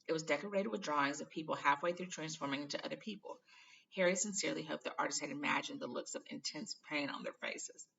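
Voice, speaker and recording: A woman reading a children's novel aloud as an expressive storybook narrator. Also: sits low, fast talker, creaky, dull audio, a little hiss or hum. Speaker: storybook reader.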